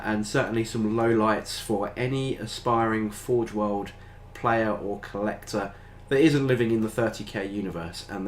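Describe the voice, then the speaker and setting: An older British man talks casually to his viewers, his voice sitting a little low. A head cold leaves him nasal and stuffy, and he rambles along with plenty of ums and uhs. A faint hiss sits under the recording. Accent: British English. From Britain. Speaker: tabletop gaming channel host. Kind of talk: casual review talk to camera.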